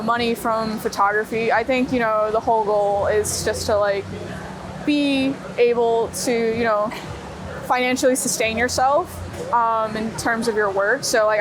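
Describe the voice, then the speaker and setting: A young woman from Brooklyn chats informally in a fairly high voice, with plenty of casual filler words. She is recorded on a clip-on mic out on a city street, with clear traffic noise around her. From Brooklyn, New York. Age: young adult. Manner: informal, slangy, frequent like and you know. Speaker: street photographer being interviewed. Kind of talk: casual chat while walking. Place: outdoors on busy city streets. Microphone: wireless clip-on mic.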